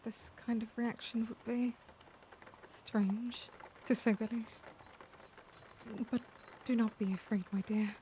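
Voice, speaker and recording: A woman acts out a tender, tormented vampire lady in an intimate ASMR roleplay. Her voice is dark and relaxed, often slipping toward a breathy whisper, with formal, old-fashioned phrasing. Faint-to-clear background ambience sits behind her. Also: medium pitch, slight background noise, lightly acted.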